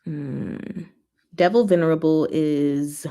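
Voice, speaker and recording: A woman hosting a livestream, chatting casually and playfully with her viewers in an animated, slangy way. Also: low voice, takes it slowly. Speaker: livestream host and book reader.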